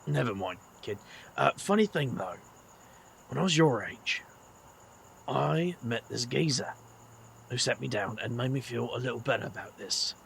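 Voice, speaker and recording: An actor playing a weary, kindly middle-aged British magician with a gravelly voice and a slangy, wry way of talking in an audio drama. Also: male voice, mid-range voice, expressive, normal speed, long pauses, a bit bright, a little hiss or hum. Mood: fond, reminiscing.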